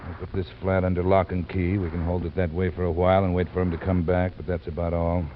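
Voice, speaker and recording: Through a muffled, faintly hissy old radio recording comes a man acting as a very deep-voiced American homicide sergeant. He is gruff and weary, talking in a curt, flat, matter-of-fact way, in the style of 1950s detective radio. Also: fast, natural voice.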